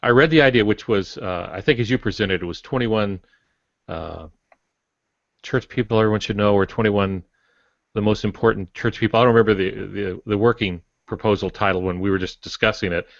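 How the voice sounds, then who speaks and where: A man talking casually as an author on a podcast, recounting his experiences in a measured, anecdotal way. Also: on the low side, creaky, dry recording. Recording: dull, dry close recording.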